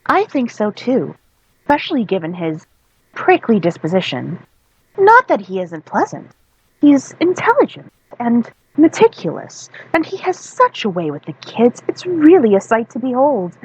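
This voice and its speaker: Performer doing an animated, gushing friendly schoolteacher woman. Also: on the high side, moderate pace, breathy edge, dull audio, pitched above the performer's own voice, full character voice.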